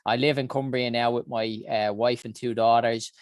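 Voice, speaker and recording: A man's voice: medium pitch, fairly even intonation, moderate pace, no room sound. A man in his early forties with a Dublin Irish accent, giving an earnest personal talk about his career, with many ums and uhs. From Ireland. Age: 40s. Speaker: health summit founder.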